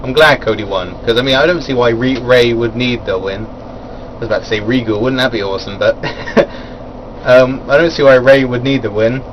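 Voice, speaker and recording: A man's voice: medium pitch, moderate pace, slight background noise, poor audio. A man giving a casual, rambling spoken review of a wrestling event, full of fillers and chuckling at his own asides.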